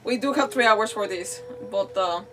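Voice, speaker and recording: A woman's voice: mid-range voice, moderate pace, a bit bright, a little hiss or hum. A woman streamer giving casual gameplay commentary in a rambling, self-deprecating way. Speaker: gaming streamer. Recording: slightly distant mic, faint music behind.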